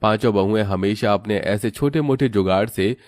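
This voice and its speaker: Low-voiced man narrating a cartoon story. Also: normal speed, darkish tone, no echo, clean studio sound.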